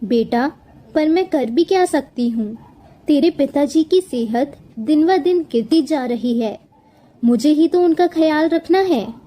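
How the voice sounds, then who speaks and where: A woman's voice: high-pitched, animated, a little slow, warm tone. A woman playing a devoted, burdened mother in a cartoon story. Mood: worried. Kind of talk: acted cartoon story dialogue.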